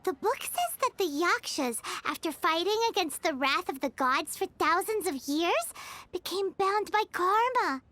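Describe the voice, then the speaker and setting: A woman voicing a small, cute, curious fairy companion in a video game, with a squeaky childlike voice and expressive delivery. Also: a little slow, slight background noise, heavily acted. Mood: thoughtful, concerned. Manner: refers to self in third person, exclaiming, expressive. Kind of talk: video game character dialogue.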